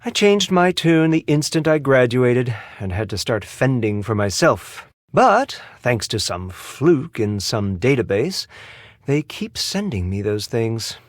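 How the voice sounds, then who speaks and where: A sardonic American man as a spa receptionist in a video game. His delivery is theatrical and snide, with pitch that swings widely. The sound is close and dry, with no room around it. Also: big pitch swings, unhurried, dry recording. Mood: wry. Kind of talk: video game character dialogue.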